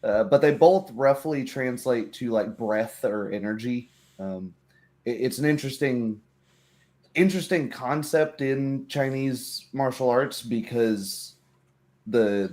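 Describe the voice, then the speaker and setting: A man's voice: mid-range voice, darkish tone. A man in casual conversation as a game master, explaining things with joking asides. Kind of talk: casual chat about tabletop game rules.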